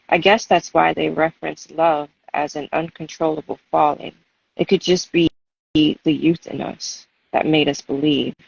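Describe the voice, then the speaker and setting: Husky, creaky-voiced woman, dull lo-fi sound. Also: sits low. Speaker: poet and guest reader. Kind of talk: spoken-word poetry reading and casual chat. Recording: dull, lo-fi audio.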